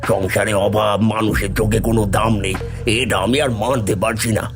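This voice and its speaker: A man plays an envious cartoon villain, a poor villager with a lowish voice. He grumbles and schemes bitterly over clear background music. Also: fairly even intonation, a little fast, natural voice. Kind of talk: animated moral story dialogue.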